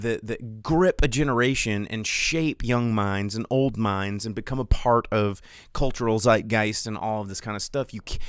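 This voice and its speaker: A man's voice: medium pitch, no echo, studio quality. A man hosting a podcast, talking casually in a rambling, emphatic, exasperated rant. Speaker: podcast host.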